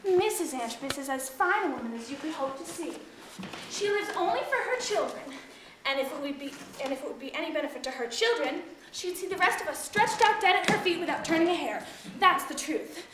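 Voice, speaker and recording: A woman's voice: high voice, expressive, brisk. A woman acting a chatty, fretful housemaid and disgruntled actress in a theatrical monologue, with dramatic, emphatic, gossipy delivery. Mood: gossipy, arch. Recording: echoey room with faint noise and clicks.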